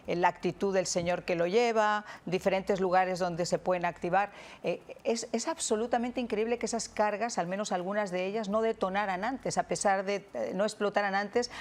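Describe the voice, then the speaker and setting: A man hosting a news interview, putting questions in a halting, wordy and deferential way. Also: high-pitched. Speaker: TV interviewer host.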